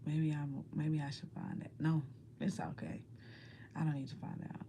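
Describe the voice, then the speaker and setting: A woman telling a story to her viewers in a soft, low whisper, rambling and using casual slang. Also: level tone, moderate pace, creaky, noisy background. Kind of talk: whispered ASMR-style storytelling.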